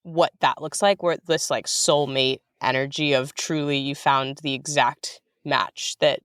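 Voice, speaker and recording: An American woman with a smooth, clean voice, recorded close and dry, chats informally as an interview guest. She is enthusiastic and wanders through asides as she talks about her work. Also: rumbling low, fairly even intonation, a little slow, fairly bright tone, no room sound. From United States. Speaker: writer-director guest. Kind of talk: casual interview chat.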